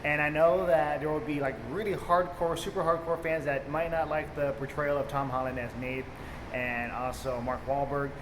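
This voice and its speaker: A man with a slightly high voice hosts a casual, unscripted film review. He rambles informally, like an enthusiastic fan. The sound is very echoey, with clear noise behind him. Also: flat delivery, moderate pace. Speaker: movie review host. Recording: echoey reverberant room, clear background noise.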